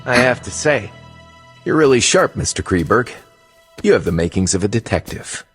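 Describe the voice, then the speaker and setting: An actor playing a composed, shrewd gentleman novelist, speaking in a deliberate storytelling manner in a video game cutscene. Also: lowish voice, sing-song, soft background music. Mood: smooth, probing.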